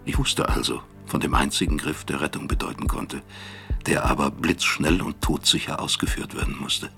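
A man acting a weary, self-accusing professor who recalls his past in a radio drama, speaking deliberately with a gravelly, husky voice. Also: very deep voice, sharp tone, soft background music, heavily acted. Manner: deliberate, reflective, measured. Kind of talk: radio drama first-person narration.

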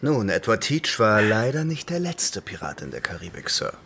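An actor playing a disciplined, determined naval commodore in a German radio drama, formal, measured and reserved. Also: male voice, on the low side, sing-song, gravelly, fairly bright tone, a little hiss or hum, full character voice. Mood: respectful, reserved. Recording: faint music behind.

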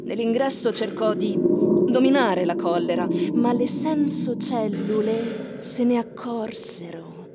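A woman narrator reading a literary story in a theatrical manner with deliberate phrasing, as in a radio drama. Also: a little high, lively intonation, heavy background noise.

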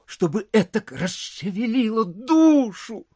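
Sentimental dreamy landowner, roomy sound, slightly husky voice, halting saccharine delivery, theatrical audio drama. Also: male voice, high-pitched, big pitch swings, darkish tone, studio quality, heavily acted. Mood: dreamy, wistful. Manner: saccharine, halting, drawn-out phrasing. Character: sugary, sentimental, dreamy provincial gentleman landowner, over-polite.

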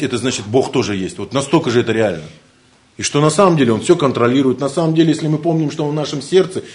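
A man from Siberia giving an informal spiritual lecture, joking and colloquial, swinging between loud bursts and quiet asides. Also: on the high side. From Siberia, Russia.

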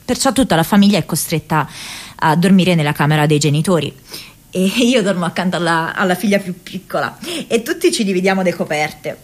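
A woman playing an earnest young activist in a radio play, speaking a letter home to her mother haltingly, searching for words. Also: fairly low pitch, close to an everyday voice. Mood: earnest recounting. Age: young adult. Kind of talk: dramatic monologue in radio theatre, reading a diary letter.

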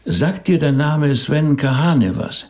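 A man's voice: on the high side, animated, slow pace, darkish tone. An actor playing a harried, earnest rabbi who explains things hesitantly to his wife in a naturalistic radio drama. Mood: concerned, explanatory.

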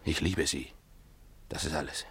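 A man's voice: a bass voice, fairly even intonation, moderate pace, fairly bright tone, breathy edge, no echo, heavily acted. An actor in a German radio play, playing an obsessed, lovesick young Russian man, proud yet self-abasing, with an intense, brooding, theatrical delivery. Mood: brooding, confessional.

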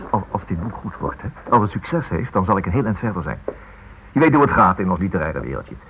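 A man's voice: on the low side, husky. An actor playing an earnest writer in a Dutch radio play, hesitant and eager as he stammers through his sentences. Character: earnest, hesitant male writer researching a criminal. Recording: muffled, noisy old radio play recording.

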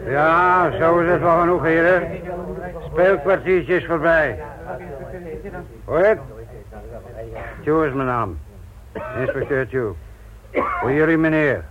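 An older man playing a cynical, stubborn police inspector near retirement in a radio drama, with a gruff, sardonic manner. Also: a little high, takes it slowly, pauses often, crowd noise. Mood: barking, commanding. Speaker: actor in a radio play. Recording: dull, band-limited old radio recording.

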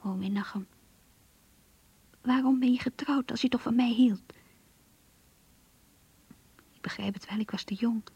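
A yearning young woman confiding her diary in a radio play. Her voice is fairly high and very breathy, almost whispered, in a close, dry sound. She sounds earnest, intimate and a little anxious. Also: a little slow, long pauses, dark tone, no room sound, lo-fi sound, heavily acted. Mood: yearning, determined. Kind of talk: radio drama diary narration.

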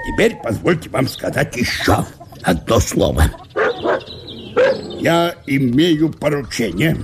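An actor playing a pompous, officious small-town mayor in a radio play, ingratiating and halting as he tries to coax and make peace. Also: mid-range voice, gravelly, background noise, exaggerated voice. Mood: wheedling, ceremonious. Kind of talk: dramatised literary monologue for radio.

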